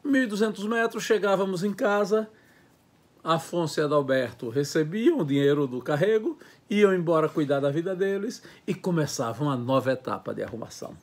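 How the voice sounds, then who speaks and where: A man in his sixties from Brazil, giving a reminiscing lecture from his home study, talking with lively hand gestures. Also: on the high side. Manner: lively, gestures with hands, listing words in quick runs. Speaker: educator. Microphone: earphone mic, webcam framing.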